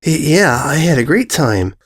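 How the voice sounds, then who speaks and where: A man's voice: a little high, big pitch swings, normal speed, voice pushed up. A man voicing an awkward, smitten young female pony who speaks hesitantly in a story reading. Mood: flustered. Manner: hesitant, stammering.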